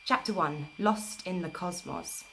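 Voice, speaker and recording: A woman reading a book aloud in a measured, deliberate storyteller's delivery on an amateur livestream recording. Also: lowish voice, a little hiss or hum. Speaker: storyteller reading an audiobook live. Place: informal livestream, not a studio.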